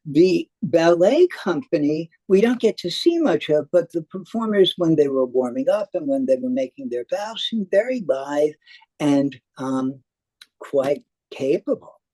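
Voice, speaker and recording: Hesitant man reviewing theater, animated pitch. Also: dry recording, low-quality recording. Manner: halting, with frequent hesitations. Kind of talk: semi-scripted spoken review.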